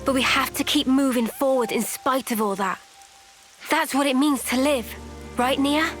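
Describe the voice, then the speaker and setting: A woman plays a cheerful, inquisitive young woman in a video game cutscene. Her voice sits high and she talks frankly, with loud game music behind her. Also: moderate pace, lightly acted. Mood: earnest. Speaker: game character Sena.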